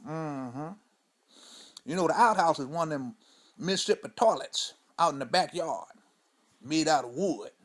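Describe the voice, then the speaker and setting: A man's voice: a little high, lively intonation, normal speed, long pauses. A man playing an older, crude storyteller who speaks in a loose, profane, rambling drawl and keeps asking "you know" and "see?". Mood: wry. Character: crude old Southern man spinning tall tales. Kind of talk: stand-up character monologue.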